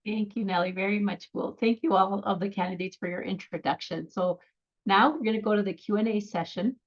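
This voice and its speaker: A woman moderating an online question session on a video call, brisk and organized as she explains the format. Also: mid-range voice, highs cut off. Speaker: event moderator.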